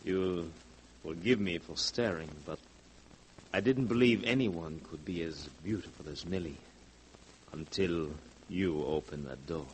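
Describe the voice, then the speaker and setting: A man playing a suave, mysterious, flattering suitor in a 1940s radio drama, courtly and deliberate. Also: low-pitched. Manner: smooth-talking, courtly, deliberate. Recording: noisy vintage radio recording.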